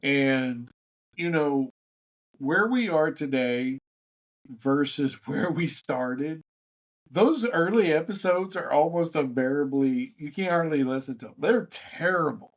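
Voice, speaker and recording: Man co-host, loose banter, somewhat higher-pitched, stumbles over words. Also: animated, slow. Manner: chatty, with stumbles and repeated words. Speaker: podcast co-host. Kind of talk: casual podcast banter and interviewing.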